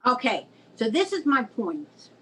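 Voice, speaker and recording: A middle-aged woman hosts a video call, her voice husky. She explains at length in an eager, open way. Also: a little high, sing-song, measured pace, fairly bright tone. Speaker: host, writing mentor. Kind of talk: casual video-call chat.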